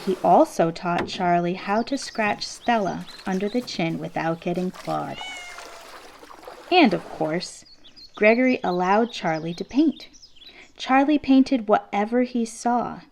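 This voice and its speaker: A woman reading a children's picture book aloud expressively, with measured, deliberate phrasing and emphasis on key words. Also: mid-range voice, expressive, vocal fry, slight background noise. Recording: classical symphony music playing behind. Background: orchestral classical music.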